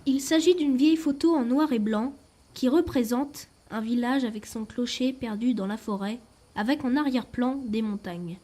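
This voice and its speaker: A woman's voice: on the high side, normal speed, mellow. A woman reading a scripted description. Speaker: narrator describing a photograph.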